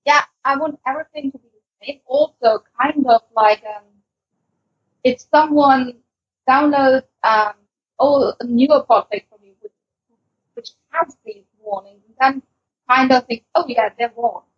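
A woman's voice: sits high, unhurried, long pauses, slightly rough, dry recording, dull audio. A woman co-hosting a podcast, chatting casually in hesitant, broken phrases. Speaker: podcast co-host. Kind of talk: casual chat.

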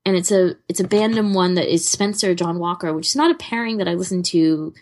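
A woman's voice: on the low side, fairly quick, a little mellow. A woman co-hosting a podcast, chatting casually and giving recommendations in an informal, rambling, giggly way. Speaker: podcast co-host.

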